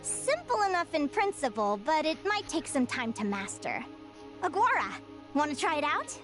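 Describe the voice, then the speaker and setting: High-pitched young adventurer girl. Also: big pitch swings, normal speed. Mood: explaining. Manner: perky, encouraging. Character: cheerful, encouraging young female adventurer and creature trainer. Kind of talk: video game voice acting. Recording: game music behind the voice.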